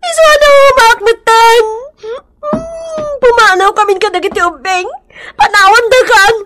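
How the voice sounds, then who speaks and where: An actress playing an aggrieved, hot-tempered wife and mother in a radio drama, wailing and high-strung, with an Ilocano accent. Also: a little slow, very noisy. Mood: tearful, angry.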